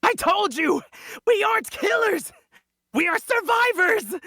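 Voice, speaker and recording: A man playing an excitable, indignant bandit chief in a fantasy audio drama table read, shrill and frantic. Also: measured pace, no room sound, clean studio sound, full character voice. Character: excitable, indignant bandit chief, more survivor than killer.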